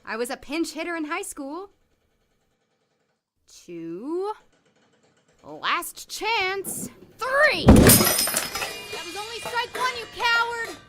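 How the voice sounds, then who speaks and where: Actress as impatient young woman, very high pitch, animated, bright sharp voice, sardonic, audio drama. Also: drawn-out words, long pauses, exaggerated voice. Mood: angry, threatening. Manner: expressive, muttering to herself.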